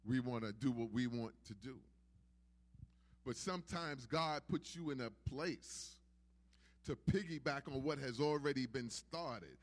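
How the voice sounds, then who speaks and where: A man's voice: on the high side, fairly bright tone. A man preaching a sermon in an emphatic, repetitive preaching cadence. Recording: long hall reverb.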